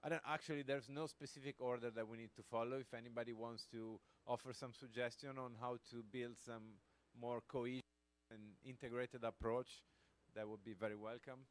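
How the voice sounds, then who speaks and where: A man at a middle pitch moderates a panel, handing out turns politely and asking for suggestions. His delivery is plain and conversational. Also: level tone, fairly quick. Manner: polite, inviting, with light laughs. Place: conference hall panel.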